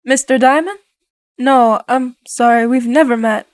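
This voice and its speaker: A woman's voice: a little high, a little slow, natural voice. A woman playing an anxious, polite wife in her thirties in 1940s radio melodrama style, fretful and pleading. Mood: uneasy.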